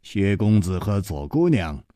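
Guy doing a smooth cocky swordsman, drawly, teasing, dry close sound. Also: deep voice, big pitch swings, unhurried, warm tone, no room sound. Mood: amused, teasing. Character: suave, amused martial hero, smug and in control.

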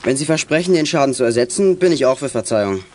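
A man's voice: medium pitch, lively intonation, fast talker, darkish tone, slight background noise, close to an everyday voice. A young man playing an eager, polite teenage boy detective in a children's radio drama, speaking earnestly. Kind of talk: 1980s German children's radio drama acting.

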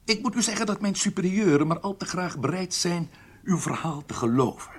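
An actor playing a formal, menacing Egyptian prison doctor who interrogates with measured politeness in a radio drama. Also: male voice, sits high, animated, husky. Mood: politely threatening.

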